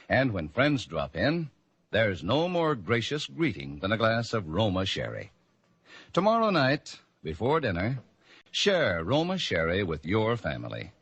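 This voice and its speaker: A male announcer reads a vintage radio commercial in a polished, genial pitch, his voice swinging widely in tone. It is a little husky and close, from a dry old broadcast. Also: a bit bright, dry recording.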